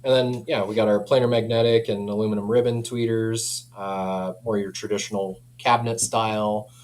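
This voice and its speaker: A man's voice: fairly low pitch, little pitch movement, moderate pace, a little mellow. A man chatting casually as a company representative explaining audio products, easygoing and colloquial, often saying "you know". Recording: clear background noise with hum.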